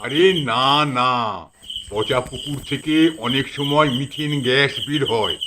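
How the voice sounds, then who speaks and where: A man playing a calm, reassuring village priest who explains and tells stories in a cartoon. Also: mid-range voice, a little slow, noisy background, natural voice. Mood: calm storytelling. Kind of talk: cartoon storytelling.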